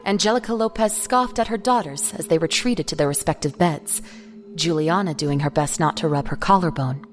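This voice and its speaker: A woman's voice: deep voice, moderate pace, soft background music. A woman narrator reading a scripted story for an audio drama.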